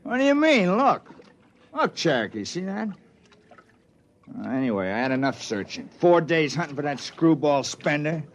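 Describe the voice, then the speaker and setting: An actor playing a crude, loudmouthed male spaceman in a 1950s radio drama, brash and coarse. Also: on the high side, big pitch swings, moderate pace. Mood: griping. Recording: noisy old radio broadcast.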